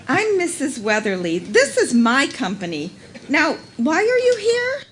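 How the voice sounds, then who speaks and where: A woman's voice: high voice, huge pitch swings, unhurried, exaggerated voice. A woman playing a haughty, indignant businesswoman in a live radio police drama parody, with a theatrical, imperious delivery. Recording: noisy live shipboard stage performance.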